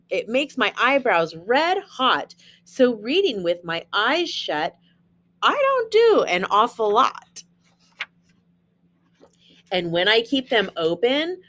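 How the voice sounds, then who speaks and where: A woman's voice: on the high side, fairly bright tone. A woman teacher reading a children's storybook aloud, expressively, with chatty asides and questions to young listeners.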